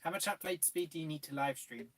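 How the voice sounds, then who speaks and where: Easygoing young man, slightly husky. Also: on the high side, expressive, quick pace, fairly bright tone. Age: 20s. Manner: easygoing, reads viewer questions. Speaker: co-host. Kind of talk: casual live stream chat.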